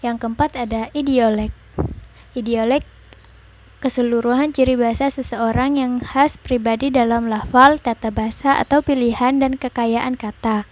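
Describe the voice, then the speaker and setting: A young woman student reading a class presentation, explaining definitions and examples in an even way. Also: a little high, normal speed, slight background noise. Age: young adult.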